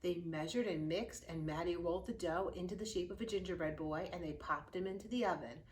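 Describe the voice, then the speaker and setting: A woman reading a children's picture book aloud with expressive, dramatic storytelling and drawn-out key words. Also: on the low side, a little hiss or hum. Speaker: youth services librarian reading aloud.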